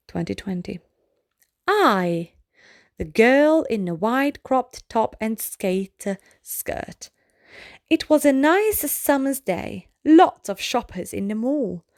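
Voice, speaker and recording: A woman reading a children's storybook aloud in a measured way, pausing phrase by phrase. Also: on the high side, dry recording, clean studio sound. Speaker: read-aloud narrator of a children's book. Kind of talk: slow read-aloud of short storybook pieces.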